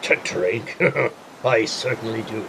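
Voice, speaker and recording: An actor playing a bold, hard-drinking squire in an audio drama, hearty and blustering. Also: male voice, lowish voice, slow, a bit bright, slight background noise, rough recording. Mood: jovial.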